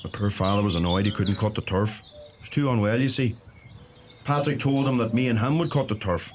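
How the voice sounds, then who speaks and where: An actor playing a young, dry-humoured Donegal farmer in a radio play, understated and colloquial in manner. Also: male voice, fairly low pitch, a little fast, background noise, lightly acted. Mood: wistful, reminiscing. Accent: Donegal Irish. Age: young adult.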